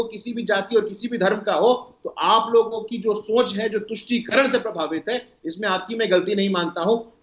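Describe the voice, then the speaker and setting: An Indian man speaking as a party spokesperson in a televised political debate, emphatic, lecturing and argumentative. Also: high-pitched. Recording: roomy, dull lo-fi sound.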